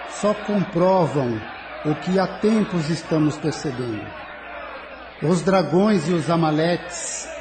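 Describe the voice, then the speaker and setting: A man acts out a venerable council leader in an audio drama. The voice sits a little high and moves in measured, formal phrases. Clear background noise and ambience sit behind the solemn delivery. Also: close to an everyday voice. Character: venerable, solemn male leader presiding over alien council. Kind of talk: spiritist audio drama acting.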